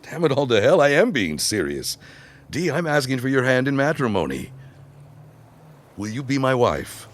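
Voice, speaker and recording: An actor playing a suave, ambitious gentleman suitor of 1916 in a period audio drama, with courtly and grandiose formal speech. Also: mid-range voice, very animated, normal speed, long pauses, lightly acted. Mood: exasperated, earnest. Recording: clear background ambience noise.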